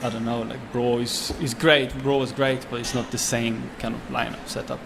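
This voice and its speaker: Young Swedish-accented man, halting speech. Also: mid-range voice, little pitch movement, vocal fry, noisy background. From Sweden. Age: 20s. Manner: halting, many fillers and restarts. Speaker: chef and restaurant owner. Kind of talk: casual interview.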